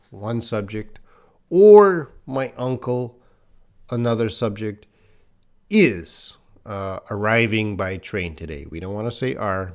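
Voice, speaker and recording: A slow, unhurried man from Canada teaches English grammar in a live online class. He explains the rules patiently and stops to answer viewers' comments as they come in. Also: on the low side.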